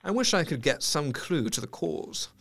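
An actor playing a studious Victorian asylum doctor dictating case notes in an audio drama, clinical, intent and measured. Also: male voice, a little high, very animated, vocal fry, fairly bright tone, heavily acted. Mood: intrigued, clinical. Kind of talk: audio drama diary narration.

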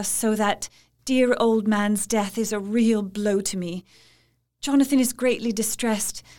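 A woman's voice: on the high side, darkish tone, natural voice. An actress playing a devoted, troubled young Victorian wife, reading out her letter in a tender, formal, confiding manner. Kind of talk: dramatized letter reading in gothic audio drama. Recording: faint music behind. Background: music.

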